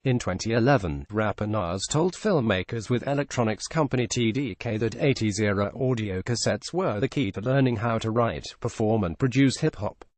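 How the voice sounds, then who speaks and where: A man reading a feature article aloud as scripted narration, in a measured journalistic delivery. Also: on the low side, animated, no echo.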